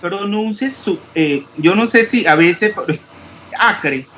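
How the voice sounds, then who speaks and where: Man, chemistry teacher, online class, careful diction, fairly high voice, faint hiss. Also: lively intonation, slow pace, fairly bright tone, low-quality recording. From Panama. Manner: stresses clear diction, pronounces every s.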